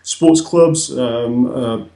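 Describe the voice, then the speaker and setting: A man's voice: medium pitch, drawn-out words, warm tone. Man, Northern English accent, young adult, hesitant, many ums, echoey room. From north of England. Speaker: guest, website co-founder. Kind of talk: casual interview chat.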